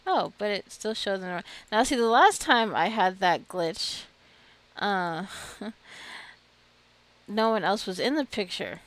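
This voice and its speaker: A woman's voice: medium pitch, animated, slow, a bit bright. A woman giving casual gameplay commentary, chatty and giggly, often talking straight to her game characters. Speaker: gameplay video creator.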